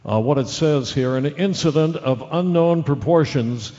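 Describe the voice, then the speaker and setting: Man acting as halting radio newsman, heavy reverb. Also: a little high, mellow, natural voice. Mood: grave. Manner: halting, careful, apologetic. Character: male radio newsman, earnest, hesitant, increasingly rattled. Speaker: news reader. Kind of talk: live breaking-news radio acting. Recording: dull lo-fi audio with long reverb.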